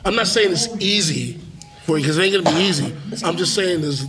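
A man's voice: high-pitched, a little fast, a crowd in the background. A man co-hosting a casual podcast, rambling and restarting his sentences with casual swearing. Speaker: podcast co-host.

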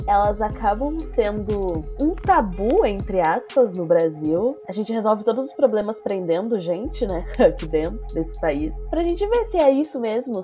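Mellow Brazilian woman hosting, slightly under the weather. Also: mid-range voice. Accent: Brazilian Portuguese. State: slight cold. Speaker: podcast host. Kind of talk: casual conversational podcast hosting.

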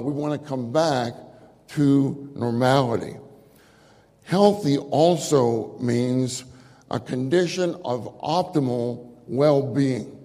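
A man preaching a sermon to a church gathering into a microphone, with a loud voice and phrases repeated for emphasis. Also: medium pitch. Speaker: speaker at a church conference. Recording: long hall reverb.

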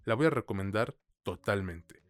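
A man's voice: on the low side, expressive, moderate pace, no echo, soft background music. A man with a Mexican accent hosting a film review podcast in a colloquial, slangy way. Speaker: podcast host.

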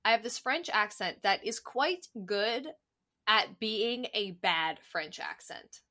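A woman's voice: medium pitch, animated, slow, sharp tone. A woman co-hosting a podcast in casual chat, playful and giggly. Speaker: podcast co-host.

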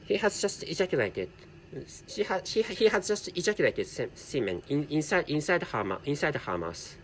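Halting Japanese-accented man, lively pitch. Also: a little hiss or hum, poor audio. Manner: halting, with heavy repetition and self-correction. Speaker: podcast host. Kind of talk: casual solo commentary.

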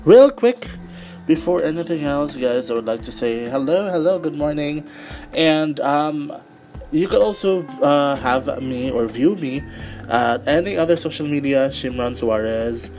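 Guy with a cold doing his podcast, raspy voice, lots of ums and restarts, some faint music behind him. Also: a little high, expressive, unhurried, a little mellow. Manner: rambling with many fillers and restarts. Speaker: podcast host. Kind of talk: casual solo podcast talk.

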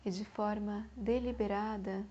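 A Brazilian woman guiding a meditation in a soothing way, in short phrases separated by long pauses. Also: medium pitch, fairly even intonation, mellow, lo-fi sound, a little hiss or hum. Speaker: meditation guide. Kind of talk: guided meditation.

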